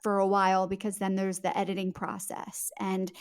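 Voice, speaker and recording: A woman's voice: medium pitch, monotone, normal speed, smooth. A woman speaking as a guest author in a conversational podcast interview, explaining things articulately and stressing key words.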